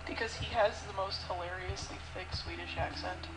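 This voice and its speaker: A woman's voice: lowish voice, steady tone, normal speed, rough recording. A young woman hosting a let's play, full of playful, giggly banter. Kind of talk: casual gaming chat. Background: game music.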